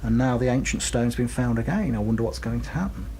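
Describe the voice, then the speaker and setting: A man's voice: medium pitch, quick pace, a little mellow. Man reading radio news, faint noise. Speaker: radio co-host. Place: radio studio.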